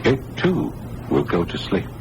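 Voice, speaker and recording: A kindly male psychiatrist, measured and reassuring. His low voice moves with lively inflection over a noisy old broadcast recording. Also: heavily acted. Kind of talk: radio drama acting.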